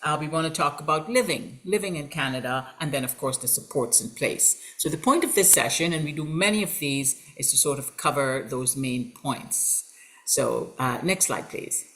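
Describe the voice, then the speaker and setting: A woman's voice: sits low, moderate pace, fairly bright tone. A woman from Trinidad and Tobago, hosting an online information session as a college representative. She talks in an upbeat, welcoming way with frequent fillers, over a video call. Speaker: college recruitment representative and host.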